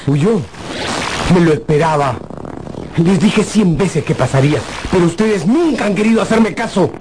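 A man's voice: high-pitched, lively intonation, moderate pace, slight background noise. An actor playing a stern, irritable father in a radio drama, scolding and exasperated. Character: stern, irritable father blaming his family. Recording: radio drama with loud music bed.

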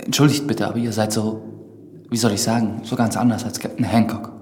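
A man's voice: on the low side, level tone, brisk, a little mellow, a little hiss or hum, natural voice. An actor playing an earnest, courteous priest in his twenties in a radio drama, formal, hesitant and inquiring. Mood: flustered. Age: 20s. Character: earnest, courteous 23-year-old priest, curious and easily flustered. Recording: studio drama with reverb and music.

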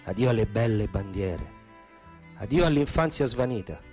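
An actor playing a wry, earthy Roman storyteller in a theatrical radio piece, with a Roman accent. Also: male voice, fairly low pitch, lively intonation, moderate pace, a little mellow, soft background music, natural voice. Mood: solemn declaiming.

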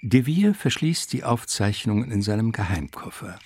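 An actor narrating a mock gothic mystery radio play in a theatrical, savouring, suspenseful literary style. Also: male voice, fairly low pitch, sing-song, normal speed, a little mellow, a little hiss or hum.

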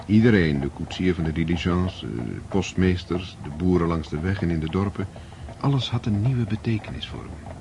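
A man narrating a radio drama in a measured, storytelling way. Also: sits low, lively intonation, creaky, background noise. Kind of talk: scripted narration.

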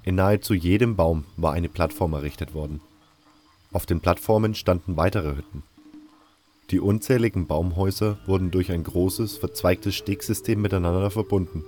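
A man's voice: low voice, normal speed, dark tone. A man narrating a scripted fantasy audio drama in the first person as a storyteller. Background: music.

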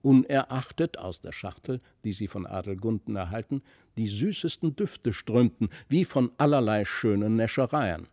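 A man reading a literary fairy tale as an audiobook narrator, in an expressive, animated storytelling manner. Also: on the low side, normal speed, no echo, studio quality. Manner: animated storyteller who voices the characters.

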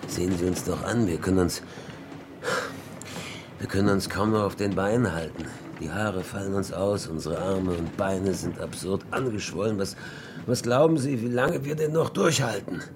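An actor playing a starving shipwrecked man in a radio horror drama, grimly resolved yet soft-hearted, talking in a halting, urgent, persuasive way. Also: sits low, background noise, close to an everyday voice.

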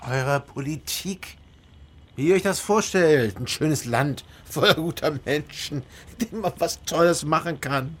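An actor playing a weary, disillusioned East German investigator in a radio crime drama, with terse, sober phrasing. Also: male voice, on the high side, a little slow, a bit bright, breathy edge. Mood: bitter, pleading. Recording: studio drama with music behind.

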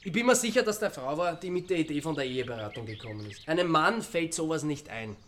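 An actor playing a wry Austrian amateur sleuth in a radio crime drama, needling and reasoning aloud with sardonic calm. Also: male voice, a little high, fast talker, a little hiss or hum. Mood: sardonic, explaining.

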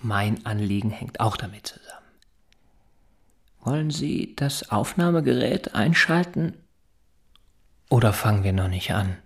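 An actor in a German radio drama playing an anxious, evasive, articulate father who speaks in a deliberate, formal, earnest way. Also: fairly low pitch, animated, vocal fry. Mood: nervous, apologetic.

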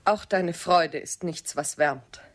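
A woman's voice: on the low side, slow pace. An actress playing a bitter, proud, scornful woman with curt, cool delivery in a radio drama. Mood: cold reproach. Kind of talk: German radio drama acting.

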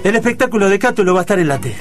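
Old Rioplatense grouch, high-pitched, theatrical, slangy, comic radio drama over music. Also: male voice, animated, rapid-fire. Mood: melodramatic despair. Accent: Argentine Rioplatense. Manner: melodramatic, old-fashioned slang. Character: stiff, grumbling old Argentine husband and tango purist. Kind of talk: comic radio play acting.

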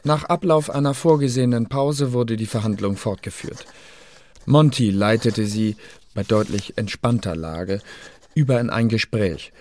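An actor playing a fussy, self-effacing committee clerk who narrates a radio play in dry, formal language. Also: male voice, medium pitch, unhurried, darkish tone, natural voice. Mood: dry, self-important.

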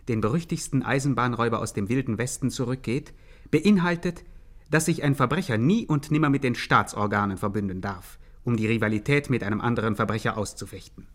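An actor playing a pedantic commentator on criminal history, pompous and deadpan, narrating a 1950s satirical radio play. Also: male voice, mid-range voice, lightly acted.

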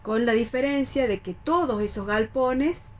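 A woman's voice: on the high side, unhurried, a little mellow. A woman with an Argentine accent chatting casually as a panelist on a radio talk show.

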